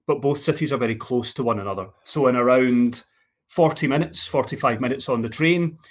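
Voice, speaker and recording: A man's voice: mid-range voice, a little fast. A man from Scotland with a Scottish accent, giving an online university presentation with frequent fillers and repeated words.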